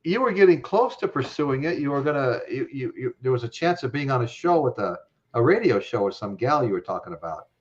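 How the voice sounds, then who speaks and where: A man's voice: medium pitch, big pitch swings, brisk. Guy hosting a stream, rambly, laughs a lot, kinda dull lo-fi sound. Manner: rambling, laughs often, many fillers. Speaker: host. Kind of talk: casual live-stream chat.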